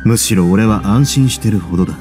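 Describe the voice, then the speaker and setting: An actor playing a composed, wry young duke who runs a prison in a game, measured and nonchalant with quiet authority. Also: male voice, lowish voice, faint music behind, natural voice. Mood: reflective. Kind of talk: game voice acting.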